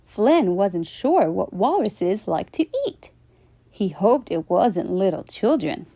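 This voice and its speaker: A woman reading a children's bedtime story aloud, gentle and expressive. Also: mid-range voice, slow, gravelly.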